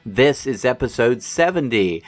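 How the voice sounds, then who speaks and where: A man's voice: mid-range voice, lively intonation, unhurried. An American man hosting a gliding podcast, reading the intro steadily and then interviewing a guest. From United States. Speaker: podcast host.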